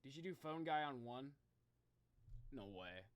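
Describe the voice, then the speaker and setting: A man streaming a casual reaction, thinking out loud in slangy talk and addressing his viewers directly. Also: mid-range voice, lively intonation, a little slow, smooth, no echo. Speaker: streamer, video host. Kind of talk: casual livestream reaction chat.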